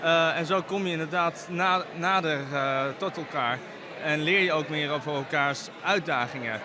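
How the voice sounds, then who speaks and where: A Dutch man in his twenties, a student, answering casually and haltingly in an interview. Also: a little high. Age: 20s.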